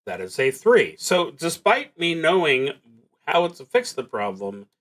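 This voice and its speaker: A man's voice: a little high, huge pitch swings, unhurried, fairly bright tone. A man live-streaming a solo tabletop roleplaying game, reading prompts and thinking aloud as he plays.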